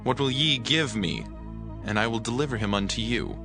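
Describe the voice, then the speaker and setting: A man's voice: mid-range voice, very animated, normal speed, creaky. An actor playing a questioning, self-interested male disciple in a dramatized scripture reading, with a pointed manner. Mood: scheming. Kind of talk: dramatized scripture, archaic English. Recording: voice over prominent music.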